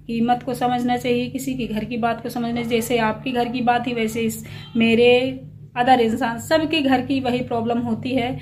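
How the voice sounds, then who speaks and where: Woman, fairly high even-toned voice, tired and a bit breathless, rambling casual vlog chat, noisy phone recording. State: unwell with a chill, tired, slightly breathless. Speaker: small family vlogger. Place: at home, alone. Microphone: handheld phone.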